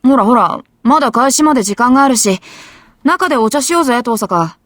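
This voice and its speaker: A woman voicing a frank, tomboyish schoolgirl who captains an archery club, with brisk, boyish talk in visual novel acting. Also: a little high, lively intonation, no room sound, clean studio sound. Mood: breezy. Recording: game audio under loud music. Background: loud music.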